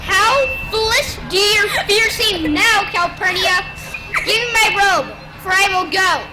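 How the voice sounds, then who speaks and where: A woman's voice: extremely high pitch, measured pace, rough recording. A woman acting in a Shakespearean stage scene with a declamatory delivery. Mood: resolute.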